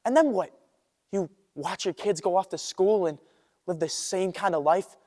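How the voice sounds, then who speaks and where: Restless young dreamer, played by a teenage male, high-pitched, earnest stage delivery with dramatic pauses, ringing hall. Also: darkish tone. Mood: impassioned. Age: teens. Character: restless idealistic young man rejecting a humdrum life. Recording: echoey hall with long reverb.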